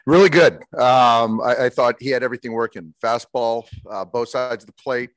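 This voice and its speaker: A man in his forties, a baseball manager answering postgame press questions in a measured way, with many fillers and restarts, heard over a video call. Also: medium pitch, dry recording. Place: indoors in front of a logo backdrop.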